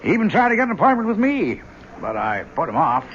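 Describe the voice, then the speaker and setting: An actor playing a concerned, genial book publisher in an old-time radio drama, conversational and slightly hesitant. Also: male voice, high voice, huge pitch swings, fast, fairly bright tone, heavily acted. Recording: muffled, noisy old radio recording.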